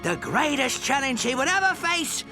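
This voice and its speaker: An actor playing a meek robot pirate first mate who narrates a story, eager to please and a bit nervous. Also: male voice, very high-pitched, big pitch swings, normal speed, exaggerated voice. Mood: storytelling. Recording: game audio with music behind.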